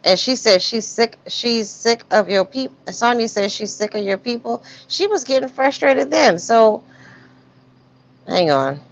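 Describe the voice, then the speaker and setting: A woman hosting a casual live stream, commenting on messages in a blunt, slangy, animated way. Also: on the high side, a little slow. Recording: dull, lo-fi stream audio.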